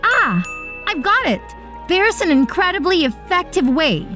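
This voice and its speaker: A woman performing an earnest young heroine of an animated story, telling it in a high, swooping voice. Her delivery is expressive and dramatic, with music playing behind her. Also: unhurried, heavily acted. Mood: eager, pleading. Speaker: narrator and lead character. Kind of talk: animated first-person story narration with acted dialogue. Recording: voice over background music.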